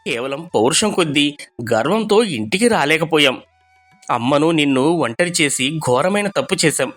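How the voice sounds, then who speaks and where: A man voices an affectionate younger brother in a story, humbled and earnest. His emotional delivery moves widely in pitch on a highish male voice, over faint music. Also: expressive, normal speed, a bit bright. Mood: remorseful.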